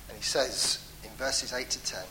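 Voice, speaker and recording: Male preacher, earnest and pleading, bright forceful tone, faint hum behind. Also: medium pitch, normal speed, rough recording. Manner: earnest, pleading, with emphatic rises and soft trailing endings. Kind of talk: sermon on a psalm.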